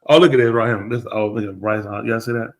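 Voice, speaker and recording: A man, co-hosting a live show and chatting casually with animated exclamations. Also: lowish voice, quick pace. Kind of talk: casual chat.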